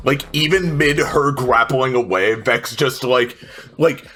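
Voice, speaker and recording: A man's voice: medium pitch, slow, sharp tone, soft background music. A man playing a tabletop game, casually talking through rules and describing what his character does.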